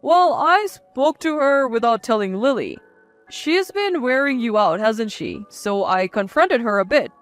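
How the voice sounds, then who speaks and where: A woman's voice: fairly high pitch, sing-song, a little slow, faint music behind. A woman narrator voicing a supportive young father and husband in a story, earnest and reassuring. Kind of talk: scripted story dialogue.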